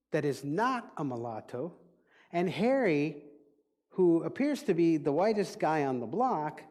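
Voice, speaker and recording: A man with a Dutch accent, a native Dutch speaker, giving a reflective sermon as a minister in a deliberate, teaching manner. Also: on the high side, darkish tone. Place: church sanctuary. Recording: long reverb of a large hall.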